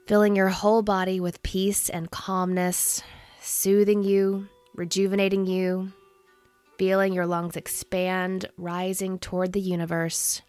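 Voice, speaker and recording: A woman in her thirties leading a guided meditation in a soothing, gentle, unhurried manner. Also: lowish voice. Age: 30s.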